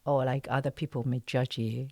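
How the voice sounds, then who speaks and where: Low-pitched, hesitant woman in an interview. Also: flat delivery, moderate pace, warm tone, no room sound. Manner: hesitant, searching for words in short broken phrases. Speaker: guest actress being interviewed. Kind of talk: casual podcast interview chat.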